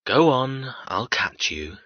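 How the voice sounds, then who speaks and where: Cold menacing male captor, acted by a man, hard forceful tone, clipped taunts, sneering control, audiobook drama, dry close sound. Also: mid-range voice, big pitch swings, slow pace, no echo, dull audio, full character voice. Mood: taunting.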